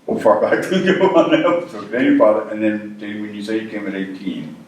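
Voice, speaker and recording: A man's voice: fairly low pitch, expressive, a little fast. Older male interviewer in an echoey room. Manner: curious, chatty, probing questions. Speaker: interviewer, film historian. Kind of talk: casual conversational interview. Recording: echoey room, distant mic, faint noise.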